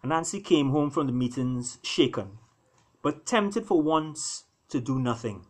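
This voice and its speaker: A man's voice: mid-range voice, animated, a little slow. Man reading an animal fable with drama. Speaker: author reading own work. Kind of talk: expressive storytelling narration of animal fable.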